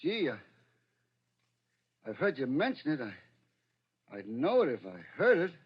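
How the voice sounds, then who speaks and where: High-voiced, eager, folksy shop fellow. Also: male voice, wildly swooping pitch, measured pace, breathy edge, heavily acted. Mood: eager, puzzled. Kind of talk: 1940s radio thriller acting. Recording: muffled, band-limited vintage radio recording.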